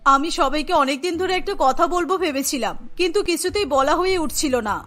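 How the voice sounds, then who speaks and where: Woman acting a high, fast-talking older mother-in-law. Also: sharp tone. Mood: firm, reassuring. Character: caring, firm older mother-in-law, practical and frugal. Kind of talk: Bengali cartoon dialogue. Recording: music behind the voice. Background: music.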